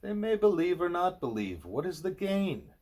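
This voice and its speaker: Man voicing a scornful, higher-pitched guru. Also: very animated, normal speed, a little mellow. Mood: scornful, insistent. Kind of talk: acted dialogue in an audiobook.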